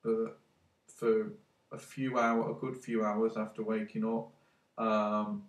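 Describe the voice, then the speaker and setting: A man's voice: on the low side, little pitch movement, slow, recorded from a distance. A man with a cold talks in a rambling, hesitant way, congested and full of ums. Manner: hesitant, many ums and restarts. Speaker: tech vlogger. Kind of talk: casual rambling monologue. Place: his own room. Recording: echoey room, voice away from mic.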